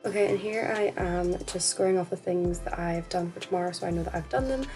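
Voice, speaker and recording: A woman's voice: fairly low pitch, brisk, dark tone, faint music behind. A young woman with a Northern Irish accent chatting casually in a student vlog, in a self-deprecating way. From Northern Ireland. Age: young adult. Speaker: student vlogger. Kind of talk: casual study vlog chat.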